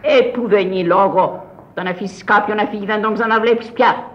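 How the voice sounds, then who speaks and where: An actress playing an eccentric, chatty, imperious mad countess in radio theatre. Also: female voice, medium pitch, close to an everyday voice. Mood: rambling, insistent. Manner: theatrical, rambling. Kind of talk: Greek radio theatre acting. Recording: dull old radio recording.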